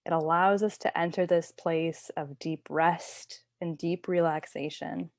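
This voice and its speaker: A woman teaching yoga nidra, giving guided relaxation instructions in a soothing, unhurried, gentle way. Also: fairly low pitch, no echo, clean studio sound.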